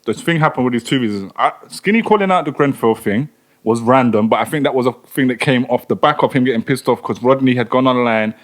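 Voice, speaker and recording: A man co-hosting a podcast, telling a story in casual chat full of slang. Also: mid-range voice. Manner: fast, animated, slangy storytelling. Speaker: podcast co-host.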